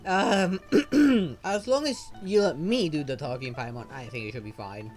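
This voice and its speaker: A man's voice: fairly high pitch, a little slow, darkish tone. A man streaming a game, chatting casually with wry asides. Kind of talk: casual gameplay commentary. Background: faint game music.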